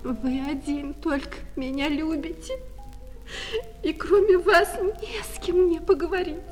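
High-voiced unhappy young seamstress. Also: female voice, steady tone, a little slow. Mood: distraught, pleading. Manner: hesitant, plaintive. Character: unhappy lovelorn seamstress customer, distraught and timid. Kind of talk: Russian radio play of a classic short story. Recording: long reverb with music behind.

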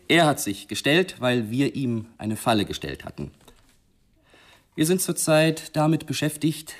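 An actor playing a self-satisfied police spokesman, speaking officially and emphatically as at a press conference in a radio drama. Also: medium pitch.

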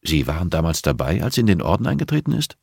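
A man's voice: low-pitched, very animated, quick pace, vocal fry, a little mellow, slightly rough, dry recording, studio quality, exaggerated voice. A man voicing an observant, businesslike police investigator in an audiobook, asking matter-of-fact questions in a controlled manner. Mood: probing, calm. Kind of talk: audiobook character dialogue.

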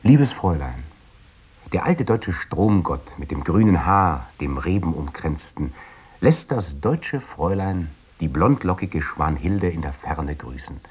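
Romantic 19th-century literary gentleman, extremely low pitch, very husky, flowery and courtly, radio play. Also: very animated, unhurried, full character voice. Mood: florid, courtly. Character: 19th-century literary man, florid, romantic letter writer. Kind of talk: literary radio drama recitation.

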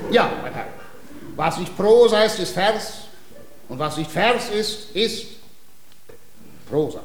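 Radio comedy, male: a pompous, patient tutor, highish pitch, didactic phrasing, in a roomy space with faint noise. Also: expressive, takes it slowly. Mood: obliging, formal. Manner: didactic, precise, formal. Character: pedantic, patient male language tutor, self-important. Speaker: actor in a radio drama. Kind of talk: theatrical classic-comedy radio acting.

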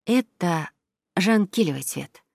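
A woman voicing a playful, thoughtful, gentle mother in a children's storybook. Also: on the low side, animated, takes it slowly, vocal fry, no echo, studio quality, heavily acted. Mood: musing. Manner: musing, playful. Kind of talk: children's audio storybook character voice.

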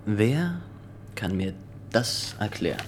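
An actor playing a stiff, suspicious inspector in a radio play, speaking formally and with control. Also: male voice, lowish voice, big pitch swings, slow, slight background noise. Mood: stern, controlled. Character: stiff, suspicious young male inspector of a totalitarian regime.

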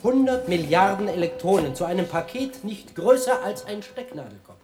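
Showy science tour guide, higher male voice, declamatory, explanatory, radio play. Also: lively intonation, normal speed, music in the background. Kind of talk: radio play acting, lecturing.

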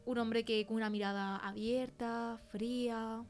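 A woman's voice: on the high side, steady tone, a little slow, faint music behind. A young woman with an accent from Spain, telling her experience conversationally as a podcast guest. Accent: Spain Spanish. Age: young adult. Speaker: podcast guest, film producer. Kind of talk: conversational testimony.

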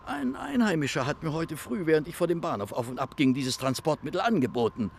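A man's voice: on the high side, animated, brisk, creaky, a little hiss or hum. A man playing a helpful, calculating detective in an audio drama. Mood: matter-of-fact, eager.

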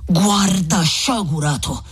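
Reader playing elderly male friar in a literary audiobook: severe, anguished, admonishing, heavily raspy delivery. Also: medium pitch, slow pace. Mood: thundering indignation. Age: old. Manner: solemn, admonishing, dramatic. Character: old friar, stern, righteous, anguished and merciful.

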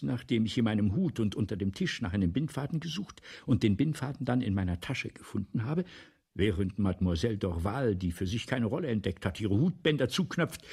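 Radio play lead: a vain, excitable playwright in debt, theatrical, hugely varied pitch, husky. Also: male voice, full character voice. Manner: theatrical, declaiming, abruptly dropping from exclamation to murmur. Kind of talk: German radio play monologue.

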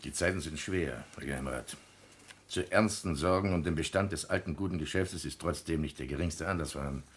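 An actor playing a stiff, self-assured son-in-law and business manager in a radio play, formal and self-important. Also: male voice, rumbling low, brisk, fairly bright tone, a little hiss or hum. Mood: firm, self-important. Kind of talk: German radio play acting.

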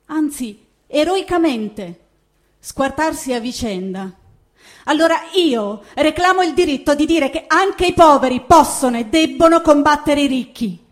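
A woman giving a dramatic literary reading as a stage reader, declamatory and emphatic, with deliberate phrasing. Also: high voice.

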